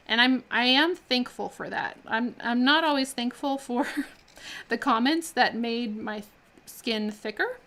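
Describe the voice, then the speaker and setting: A woman's voice: on the high side, fairly bright tone. Chatty woman crafting on a live stream. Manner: chatty, laughs often, many fillers and restarts. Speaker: miniature artist streaming live. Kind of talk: casual chat while crafting.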